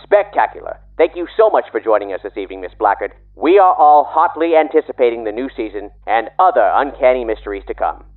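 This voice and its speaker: A man's voice: mid-range voice, animated, quick pace, hardly any pauses, narrow, tinny audio. A man hosting a podcast in a formal, theatrical, courtly scripted style. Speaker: podcast host. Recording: thin radio-like band, music behind.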